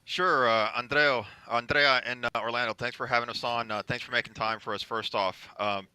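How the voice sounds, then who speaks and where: A man's voice: medium pitch, moderate pace, clean tone, dry recording. A man from the United States giving an earnest introduction of himself as a school board candidate. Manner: earnest, steady, a few fillers. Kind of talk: campaign introduction.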